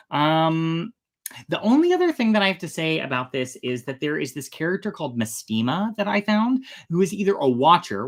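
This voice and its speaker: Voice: mid-range voice, a bit bright. A storytime host chatting casually about a story, with playful, irreverent and flippant asides.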